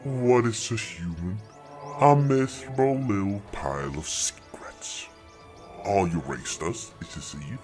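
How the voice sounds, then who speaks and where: A man's voice: lowish voice, unhurried, darkish tone, faint music behind, close to an everyday voice. A man voicing a huge old dragon guard in a fantasy audio drama, haughty and suspicious, with grandiose, threatening, archaic speech. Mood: indignant, scornful. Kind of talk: fantasy audio roleplay acting.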